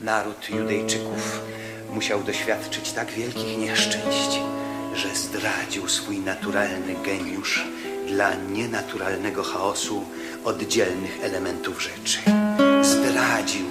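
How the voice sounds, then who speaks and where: An actor playing a fervent apostle preaching solemnly to a Roman governor in a radio drama. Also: male voice, on the low side, little pitch movement, slow. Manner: impassioned, solemn, declamatory. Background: music.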